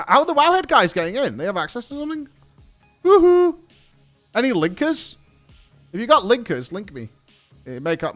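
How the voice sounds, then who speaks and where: A British man with a fairly high, very lively voice reacts casually as a gaming host. He thinks out loud in blunt, colloquial phrases. Music plays behind him. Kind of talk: casual live reaction commentary.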